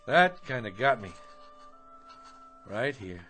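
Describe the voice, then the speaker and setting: A man's voice: medium pitch, big pitch swings, slow, sharp tone, soft background music, full character voice. An actor playing a brash, self-important hack writer in a comedic radio drama, slangy, boastful and stammering as he wheedles. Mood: self-pitying boasting.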